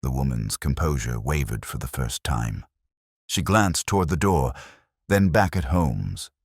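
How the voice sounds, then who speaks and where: A man with an extremely low voice narrates a mystery tale in a measured, theatrical way. His pitch moves expressively, and the sound is close and dry. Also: animated, husky, no room sound. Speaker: audiobook narrator. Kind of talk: scripted dramatic detective-story narration.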